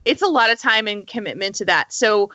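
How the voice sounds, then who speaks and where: A woman chatting casually and excitedly as a gaming podcast panelist. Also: mid-range voice, brisk, dull audio. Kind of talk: casual enthusiastic chat.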